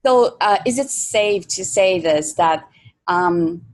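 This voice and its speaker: Slow-talking woman interviewer. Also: lowish voice, lively intonation, darkish tone. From Vietnam. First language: Vietnamese. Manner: hesitant, many fillers. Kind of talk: conversational interview.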